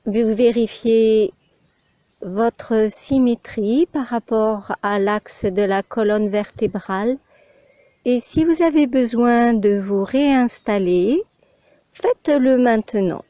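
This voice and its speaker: A woman instructor guiding a yoga nidra meditation in calm, measured short phrases with pauses between them. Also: a little high, dry recording.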